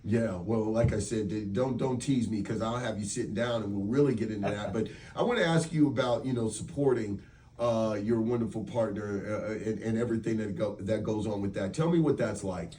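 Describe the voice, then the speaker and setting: A man's voice: fairly low pitch, fairly quick, warm tone, far from the mic. A man hosting a radio interview in a casual, chummy, rambling conversational way. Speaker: radio show host.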